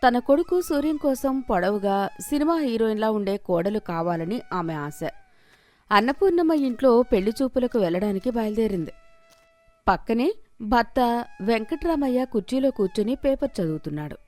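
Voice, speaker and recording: A woman's voice: on the high side, expressive, normal speed, a little mellow. A woman storyteller giving a scripted narration of a moral story.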